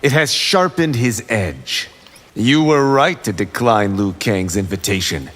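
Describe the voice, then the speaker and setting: An actor voicing a cocky, boastful young martial arts fighter in a video game, speaking theatrically. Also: male voice, fairly low pitch, animated, measured pace, a bit bright. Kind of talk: video game character acting.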